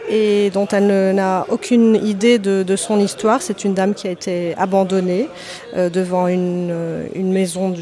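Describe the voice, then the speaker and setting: Hesitant woman author, soft and searching. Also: medium pitch. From Lebanon. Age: 30s. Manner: hesitant, many fillers and restarts. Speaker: author guest. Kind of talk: podcast interview.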